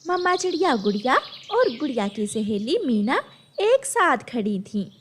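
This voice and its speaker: A woman narrates a children's moral story from a script. Her voice is high and moves through a lively, wide range of pitch. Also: a little slow, slight background noise. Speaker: story narrator, also voicing bird characters.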